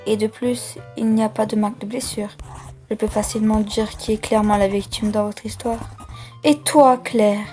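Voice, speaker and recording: A woman's voice: mid-range voice, steady tone, normal speed, warm tone, soft background music, natural voice. A woman voicing a stern, unfair school authority, formal and condescending, in a fan-dubbed cartoon. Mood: scornful. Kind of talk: fan-dub cartoon acting.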